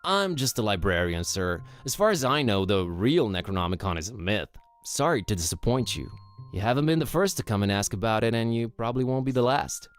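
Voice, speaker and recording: A man playing an easygoing, slangy young student librarian in an animated video game, casual and wryly dismissive. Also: fairly low pitch, fairly quick, clean tone, faint music behind. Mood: dry, dismissive.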